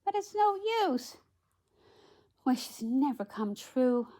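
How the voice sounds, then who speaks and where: A woman voicing a sweet, wistful young heroine in a storybook read aloud, with drawn-out plaintive phrasing. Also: high-pitched, very animated, warm tone, voice pushed up, full character voice.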